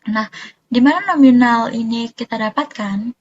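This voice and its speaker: A woman's voice: a little high, moderate pace, a little mellow, dull audio. A young woman explaining material step by step in a student presentation, informal and a little hesitant. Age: young adult.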